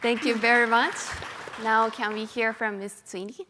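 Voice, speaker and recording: A woman's voice: on the high side, fairly even intonation, normal speed, noisy background. Polite, hesitant woman moderator. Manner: hesitant, polite, with many fillers. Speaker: panel moderator. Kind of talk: moderating a panel. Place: conference hall. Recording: long hall reverb.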